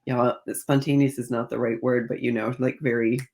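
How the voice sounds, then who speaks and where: Creaky-voiced woman, author in interview. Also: a bass voice, level tone, normal speed, a little mellow. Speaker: guest author discussing own novels. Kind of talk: conversational interview answers.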